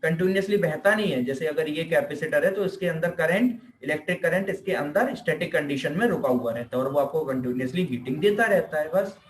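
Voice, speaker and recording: Indian man lecturing, higher-pitched voice, echoey room. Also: slight background noise. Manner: repeats and rephrases points, asks and answers own questions. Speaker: physiotherapy teacher. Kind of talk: informal explanatory classroom lecture, Hindi mixed with English terms.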